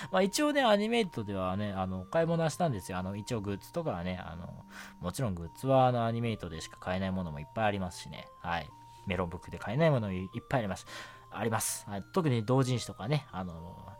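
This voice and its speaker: A man's voice: fairly low pitch, big pitch swings, fast talker, a little hiss or hum. A young man hosting a casual solo radio chat in a rambling, giggly, self-deprecating way. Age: young adult. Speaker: radio show host. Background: background music.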